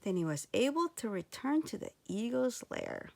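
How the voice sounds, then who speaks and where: A woman reading a children's storybook aloud with expressive narration. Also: on the high side, normal speed, creaky. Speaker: storybook reader.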